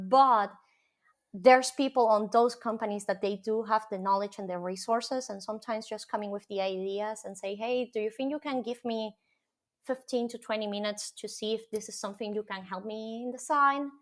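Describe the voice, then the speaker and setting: A woman's voice: mid-range voice, a bit bright. A woman from Venezuela whose first language is Spanish, speaking English with a Venezuelan accent as a podcast guest, thinking aloud with frequent ums and restarts. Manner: thoughtful, many ums and restarts. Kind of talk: casual interview chat.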